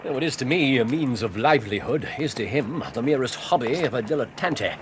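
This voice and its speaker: Man playing brilliant cocky english detective, radio play, very animated mid voice, precise lecturing, noisy background. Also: mid-range voice, fairly quick, natural voice. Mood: explaining. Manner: precise, lecturing, theatrical emphasis. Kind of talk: radio drama acting.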